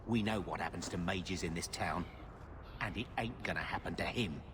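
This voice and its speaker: An actor playing a rough, protective male refugee in a video game, talking in a colloquial way. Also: sits low, moderate pace, close to an everyday voice. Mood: threatening. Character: rough, protective refugee tough, confrontational then apologetic. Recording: clear background noise.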